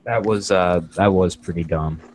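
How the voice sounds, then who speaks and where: A man's voice: sits low, moderate pace, slight background noise. A man speaking as a host in a casual group discussion, giving his commentary.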